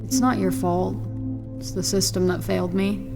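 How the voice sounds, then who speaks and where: A woman playing a meek but probing captive in her twenties in an audio drama, soft-spoken and careful, with a creaky voice. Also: medium pitch, normal speed, music in the background, natural voice. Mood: calm, probing. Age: 20s. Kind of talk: audio fiction acting.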